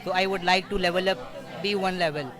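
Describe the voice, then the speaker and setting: High-voiced man interviewing amid crowd. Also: steady tone, quick pace. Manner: frequent short affirmations, echoes the guest's words. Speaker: interviewer, podcast host. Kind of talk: casual interview.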